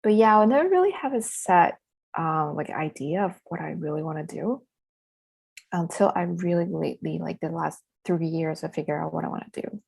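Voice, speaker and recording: A woman's voice: sits low, expressive, moderate pace, gravelly, darkish tone, slightly rough. A young woman from southern China, chatting as an interview guest, laughing often and speaking loosely with fillers. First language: Chinese. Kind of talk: casual interview chat.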